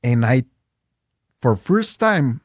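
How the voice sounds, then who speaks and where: A man's voice: mid-range voice, big pitch swings, slow, no echo, studio quality. A young man with a Mexican Spanish accent, a native Spanish speaker, chatting as a guest and searching for English words. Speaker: guest, game store owner. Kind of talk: casual interview chat.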